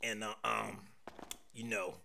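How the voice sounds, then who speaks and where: A man voicing a bossy, easily flustered killer in an animated game parody, with slangy, blustering talk. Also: fairly high pitch, normal speed, gravelly, slight background noise, full character voice. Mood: nervous, hesitant. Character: swaggering, nervous red impostor killer, smitten and bossy.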